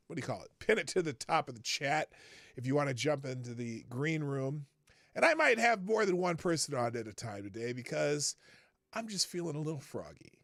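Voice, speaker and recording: High, lively, gravelly male host. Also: dry recording, studio quality. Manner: rambling, animated, swears, repeats himself. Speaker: livestream talk show host. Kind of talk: casual live-show chat. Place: home studio. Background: faint music.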